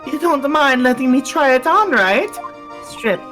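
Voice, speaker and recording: A woman's voice: high voice, lively intonation, unhurried, fairly bright tone, faint music behind. A woman voicing a bubbly, teasing circus animal tamer. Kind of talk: voicing game dialogue on a live stream.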